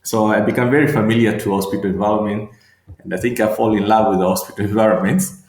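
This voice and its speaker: A middle-aged man from Tanzania speaks in a low voice, taking his time and searching for words between fillers. He is answering interview questions, and his voice rings in an echoey room. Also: fairly even intonation, a little mellow. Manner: slow and thoughtful, with frequent fillers and repeated words. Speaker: guest, regional manager and medical doctor.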